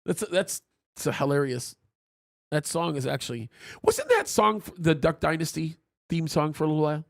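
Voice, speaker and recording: A man hosting a live-stream talk show in a loose, chatty way. His voice sits high and swings widely as he reads viewer messages, laughing loudly and often restarting his sentences. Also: very animated, normal speed, vocal fry. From Egypt. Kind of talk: casual live-stream chat, reading viewer super chats.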